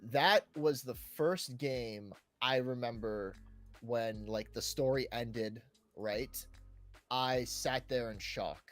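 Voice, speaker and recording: A man's voice: medium pitch, slow pace. A man co-hosting a podcast, chatting casually and enthusiastically about favourite games, often saying "like" and "right". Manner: enthusiastic, peppers talk with "right" and "like". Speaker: podcast co-host. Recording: background music under the talk. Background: background music.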